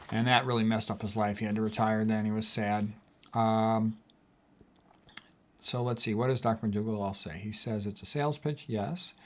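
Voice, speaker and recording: A man of sixty, a physician, reading quotes aloud and commenting on them in an emphatic, casual way, often repeating his key points. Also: lowish voice. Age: 60s. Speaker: physician host.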